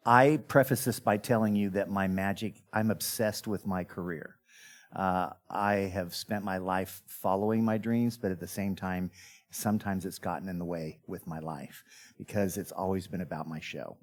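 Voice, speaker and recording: Middle-aged male entertainer, very low voice, chatty interview storyteller. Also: normal speed. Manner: animated storyteller who acts out quoted dialogue. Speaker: magician guest telling his life story.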